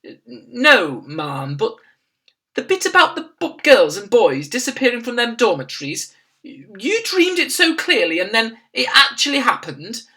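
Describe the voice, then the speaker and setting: A woman's voice: a little high, sing-song, a little slow, a bit bright, voice pushed up, full character voice. A woman voicing a fussy, plain-spoken middle-aged maid who speaks in a deferential, flustered, homely way. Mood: anxious.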